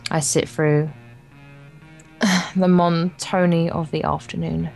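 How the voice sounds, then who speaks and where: Young woman, lowish voice, let's play narration, playful casual banter, quiet music behind. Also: level tone, takes it slowly, gravelly. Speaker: let's play co-host reading a visual novel aloud. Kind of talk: reading game text aloud with casual banter.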